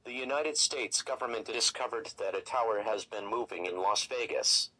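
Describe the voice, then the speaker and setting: A man's voice: on the low side, moderate pace, sharp tone, low-quality recording. A man playing a formal, impersonal announcer reading a scripted emergency broadcast message.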